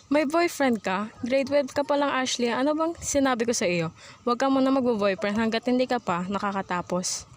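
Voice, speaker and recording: A woman's voice: a little high, brisk, close to an everyday voice. A woman playing a worried, scolding but loving mother in an amateur student radio drama.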